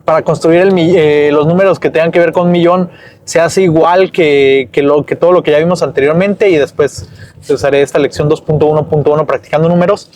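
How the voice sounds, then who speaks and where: A man talks through a lesson while walking home outdoors. His voice sits a bit high, and he wanders, backtracks and corrects himself in a loose, chatty way. Faint outdoor ambience hums underneath. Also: fairly even intonation, fairly quick, darkish tone, a little hiss or hum. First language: Spanish. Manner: rambling, self-correcting, chatty. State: dry-mouthed. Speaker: German teacher and vlogger.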